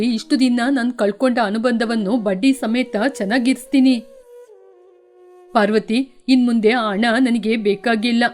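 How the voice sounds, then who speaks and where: A regretful wealthy man in his middle years, his voice pushed lower and heavy with remorse, as a woman reader puts him on. The pitch sits well under the storyteller's own, and faint music runs behind him. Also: darkish tone, close to an everyday voice.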